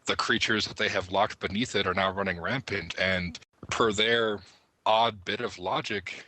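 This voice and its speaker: Low, bright-voiced man in casual discussion. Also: steady tone, moderate pace, dry recording, rough recording. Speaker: podcast panelist. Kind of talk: casual discussion of game lore.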